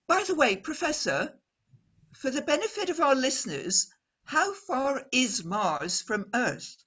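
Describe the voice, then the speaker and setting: A woman playing a poised, earnest radio news reporter in an old-time radio drama, speaking formally and deliberately with dramatic emphasis. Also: high voice, sing-song, a bit bright, breathy edge, muffled recording, heavily acted. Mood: inquisitive.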